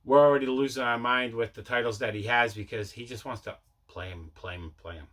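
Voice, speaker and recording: A man's voice: medium pitch, brisk, fairly bright tone. A man hosting a casual vlog about his collection, rambling and repeating himself as he talks. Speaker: host of a mail day collecting vlog. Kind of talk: casual chat showing movie pickups.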